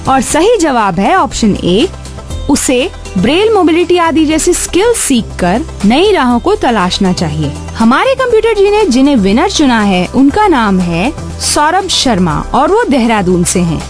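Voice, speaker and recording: Female Indian radio co-host, scripted, high voice, very lively intonation, music behind. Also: a little slow. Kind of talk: scripted radio presenting, quiz segment. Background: background music.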